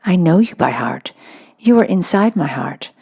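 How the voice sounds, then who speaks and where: A woman's voice: fairly low pitch, animated, normal speed, gravelly, a little mellow, slightly rough, dry recording, full character voice. A woman voicing a quaint, polite, self-possessed little girl in a storybook reading. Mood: earnest, polite. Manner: polite, old-fashioned, earnest.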